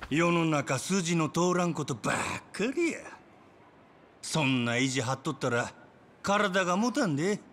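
An actor playing a menacing, manic yakuza brawler in a video game, with a Kansai accent and a drawling, mocking delivery that veers between quiet threat and shouting. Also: male voice, a little high, animated, a little slow, slight background noise. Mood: scornful, needling. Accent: Kansai Japanese. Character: menacing, manic adult male yakuza brawler, gleefully taunting. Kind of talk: dramatic game cutscene acting. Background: background music.

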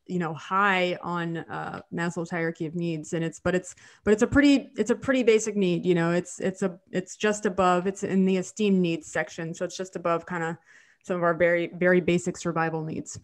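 A woman's voice: fairly low pitch, fairly even intonation, brisk. A woman in her thirties co-hosting a podcast from home, a little nasal from a cold, chatty with frequent restarts. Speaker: podcast co-host, dental hygienist. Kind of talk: casual podcast chat. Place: home living room. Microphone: studio mic on video call.

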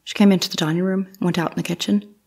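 Actress as Victorian housemaid on the stand, lowish husky voice, plain, deferential, courtroom audio drama. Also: female voice, fairly even intonation, fast, a little mellow. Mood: plain, respectful. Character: plain-spoken 19th-century housemaid, a respectful witness. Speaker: actor.

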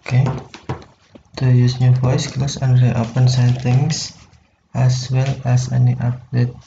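A man with a very monotone, gravelly voice walks through software steps. He speaks slowly, in short halting phrases. The sound is dull, with faint noise behind him. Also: medium pitch. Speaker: tutorial presenter. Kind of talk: step-by-step software tutorial narration.

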